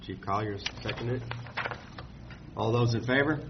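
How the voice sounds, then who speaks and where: A man chairing a formal meeting in a slow, measured, courteous way. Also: medium pitch. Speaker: committee chair. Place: meeting room. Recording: muffled meeting recording with hiss.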